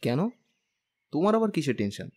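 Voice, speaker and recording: A man's voice: on the high side, very animated, normal speed, a little mellow. A young man playing a caring, playful husband who coaxes and teases in a romantic audio story. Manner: teasing, coaxing.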